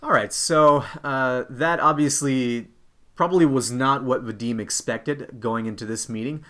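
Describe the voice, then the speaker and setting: A man's voice: mid-range voice, unhurried, fairly bright tone. A man co-hosting an instructional video, explaining things to viewers as a career coach. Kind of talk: instructional presenting to camera.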